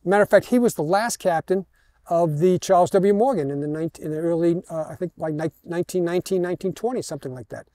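An older man with an American accent giving an informal history talk as a walking tour guide, halting and digressive as he tells his stories. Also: high-pitched, warm tone. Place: outdoors in an open parking lot. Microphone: headset mic.

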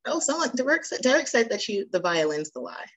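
A woman's voice: fairly high pitch, sing-song, quick pace, a bit bright. A woman hosting a casual group video call, playful, reacting aloud and urging people on. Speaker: host of a virtual icebreaker session.

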